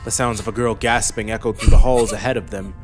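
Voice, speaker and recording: A man with a fairly low voice narrates a fantasy audiobook from a script. Faint music plays beneath his reading. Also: fairly even intonation, quick pace.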